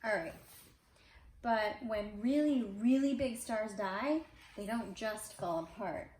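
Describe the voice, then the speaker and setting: Mom reading a picture book to kids, chatty little asides, echoey room, bit of background noise. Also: female voice, mid-range voice, takes it slowly, a little mellow. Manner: conversational, explains pictures as she reads. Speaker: parent reading aloud to children. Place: indoors at home. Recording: roomy, echoey home recording with faint noise.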